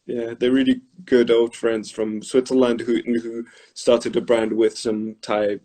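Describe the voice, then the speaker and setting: A man's voice: medium pitch, flat delivery, a little slow, mellow. A middle-aged man hosting a casual interview, speaking thoughtfully and rephrasing as he goes. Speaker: interviewer, host.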